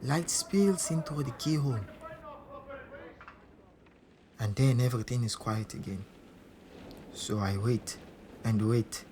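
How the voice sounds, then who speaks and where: Clear background noise and ambience surround an actor as a frightened stowaway. He is a man with a medium-pitched voice, narrating an audio drama in short, simple sentences as if living it now. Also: unhurried, natural voice. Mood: tense, bleak. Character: frightened stowaway telling his story in present tense. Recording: noisy ambience behind the voice.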